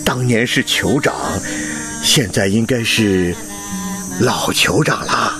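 An actor playing a courteous, earnest Chinese medical professor in a radio drama, speaking emphatically. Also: male voice, fairly low pitch, takes it slowly, over music. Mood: polite, curious.

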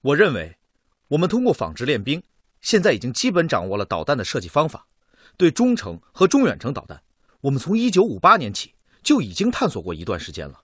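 An actor playing an earnest missile designer in a radio drama, arguing his bold plan to a meeting in an emphatic, deliberate way. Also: medium pitch, wildly swooping pitch, a bit bright, slightly rough, no echo, studio quality, heavily acted. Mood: earnest, insistent. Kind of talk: radio drama acting, formal speech to a meeting.

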